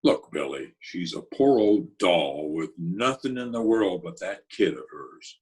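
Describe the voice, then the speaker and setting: A gruff Broadway big shot with a soft heart, played by a man for a radio play. He speaks very low and husky, in clipped slang at an unhurried pace. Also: big pitch swings, full character voice. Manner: gruff, laconic street slang. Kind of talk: 1940s radio drama acting.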